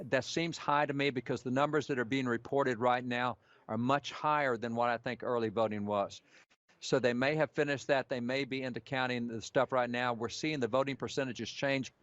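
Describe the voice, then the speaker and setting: A man's voice: mid-range voice, fairly bright tone, no echo. Muffled, dry-sounding man giving election analysis. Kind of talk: live data commentary.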